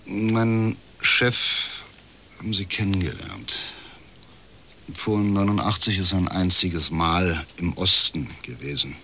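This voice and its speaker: Disillusioned middle-aged official, deep voice, forceful edge, bitter, measured, radio crime drama. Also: male voice, expressive, creaky, a little hiss or hum, full character voice. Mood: dry, bitter. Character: disillusioned, chain-smoking male privatisation official, uneasy whistleblower.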